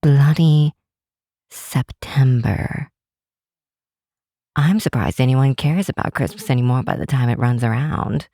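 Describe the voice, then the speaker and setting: A woman's voice: extremely low pitch, unhurried, pauses often, dark tone, no room sound, clean studio sound. A woman playing a cynical, sardonic but secretly affectionate grinch girl in an ASMR roleplay, drawing out words for emphasis. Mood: exasperated, sardonic. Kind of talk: ASMR roleplay, scripted acting to the listener.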